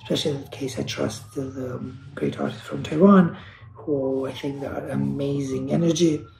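A man's voice: medium pitch, steady tone, moderate pace, vocal fry, echoey room, background noise. A man from Kosovo, speaking as an artist on a panel over a video call, earnest and searching, often restarting and repeating words. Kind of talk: reflective panel talk.

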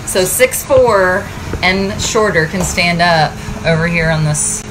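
A woman's voice: lowish voice, slow pace, noisy background. Chatty woman filming a tiny house tour. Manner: chatty, explains features while walking. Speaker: tiny home builder co-hosting tour, filming. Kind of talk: casual walkthrough tour. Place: inside a small empty tiny house.

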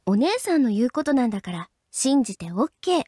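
An actress playing an affectionate, teasing, big-sisterly high school girl in an anime-style game. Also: sing-song, a little fast. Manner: sweet, teasing, coaxing. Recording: loud game music behind the voice.

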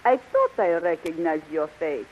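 Imperious young princess, muffled recording. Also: female voice, sits low, lively intonation, unhurried. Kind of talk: 1930s radio serial acting. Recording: muffled, hissy 1930s radio recording.

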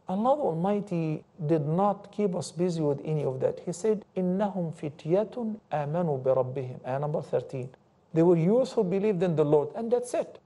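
A middle-aged man giving a televised religious lecture as host, animated and gesturing, reciting Arabic quotations in a studio. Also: fairly high pitch. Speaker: Islamic scholar and TV host. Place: indoor TV studio set.

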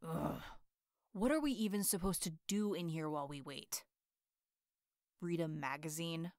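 A woman's voice: sits low, a little slow, a little mellow, no echo, clean studio sound. A woman voicing a brash, hot-tempered teenage boy hero in an audio roleplay; he swears constantly, boasts, and snaps at everyone. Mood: grumbling.

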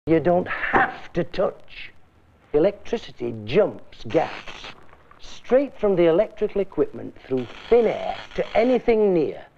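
A man's voice: high voice, sing-song, measured pace, creaky, a little mellow, a little hiss or hum, heavily acted. A man playing an electricity board lecturer giving an emphatic warning in an instructional film, on a muffled old soundtrack. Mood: grave warning.

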